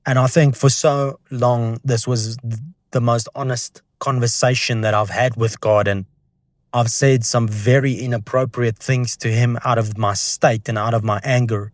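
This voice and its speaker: Young man, flat intonation, close dry sound, reflective, earnest, personal testimony storytelling. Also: medium pitch, unhurried, no echo. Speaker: video creator sharing a personal testimony.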